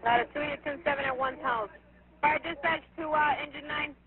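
Fire dispatcher on radio, high voice, clipped readbacks, thin tinny sound. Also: fairly even intonation, fairly quick, noisy background. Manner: clipped, repeats messages back. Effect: two-way radio.

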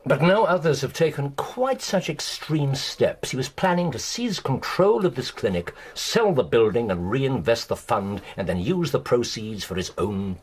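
An actor playing an incisive, commanding British detective in a radio drama, with precise, theatrical delivery and dramatic pauses. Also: male voice, medium pitch, big pitch swings, slightly rough. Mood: stern. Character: incisive, commanding male detective laying out a case. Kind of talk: BBC radio drama acting.

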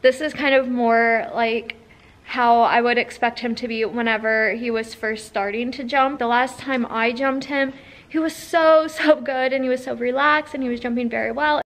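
A woman's voice: fairly high pitch, fairly even intonation, moderate pace, a bit bright. A young woman talking casually to a phone held at arm's length, standing in an indoor barn aisle beside her horse. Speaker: horse trainer and vlogger. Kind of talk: casual vlog talk to a selfie camera.

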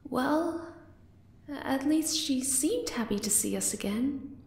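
Woman acting a sweet, slow-talking moth-girl. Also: high-pitched, expressive, gravelly, roomy sound, heavily acted. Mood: awkward, self-chiding. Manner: hesitant, tender, soft-spoken. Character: sweet, shy, flustered young moth-girl lover. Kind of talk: ASMR roleplay acting.

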